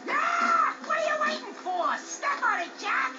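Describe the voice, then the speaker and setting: A man voicing a small, boastful, excitable male animal sidekick in a video game cutscene, telling things in a brash, theatrical way. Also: very high-pitched, a little slow, full character voice. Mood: panicked, outraged. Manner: brash, bragging, theatrical storyteller. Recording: dull game audio under music.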